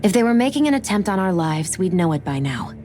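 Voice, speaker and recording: An actress playing a stern, cool young woman warrior in a fantasy game, brisk and businesslike. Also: fairly low pitch, big pitch swings, warm tone, soft background music. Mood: cool, critical. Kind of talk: dramatic video game acting.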